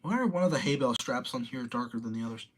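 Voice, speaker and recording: A man's voice: medium pitch, expressive, rapid-fire, fairly bright tone. A young man in his late teens, casually rambling through commentary and reading viewer comments aloud. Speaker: streamer reading chat.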